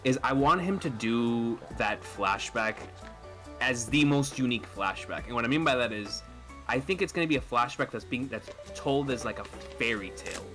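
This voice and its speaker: A man's voice: mid-range voice, animated, moderate pace, a bit bright, soft background music. A man in a casual podcast chat, laying out his ideas eagerly and emphatically.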